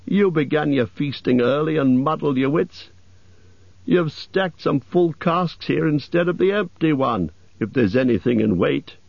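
남자가 연기하는 높은 톤의 유쾌하고 성급한 엘프. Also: male voice, a little high, animated, a little slow, vocal fry, no room sound, muffled recording, voice pushed up, full character voice. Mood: teasing. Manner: loud, exclaiming, teasing. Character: merry, impatient male elves, teasing and singing at work. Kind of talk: audiobook character voices.

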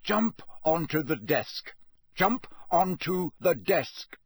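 A man voicing a gruff, commanding old wizard teacher in an audiobook, growling and barking out forceful orders. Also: on the high side, sing-song, takes it slowly, no echo, clean studio sound, voice pushed up, heavily acted. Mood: insistent.

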